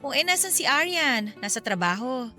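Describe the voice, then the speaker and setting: A woman playing a chatty, teasing middle-aged neighbor in a radio drama, with a Filipino accent and an animated, gossipy manner. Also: high-pitched, normal speed, faint music behind, full character voice. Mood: friendly.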